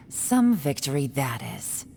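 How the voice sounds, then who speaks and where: An actress playing a cool, shrewd strategist in a video game, measured and sardonic. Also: female voice, a bass voice, dark tone, slight background noise, full character voice. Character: cool, shrewd adult female strategist, skeptical.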